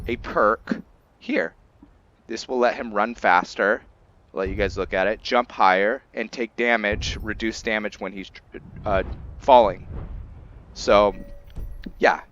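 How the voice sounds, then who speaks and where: A man hosting a gaming video, giving casual, halting commentary in an informal, rambling way. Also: lowish voice, a bit bright, lo-fi sound. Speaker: gaming channel host. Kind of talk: casual gameplay commentary introducing a roleplay character.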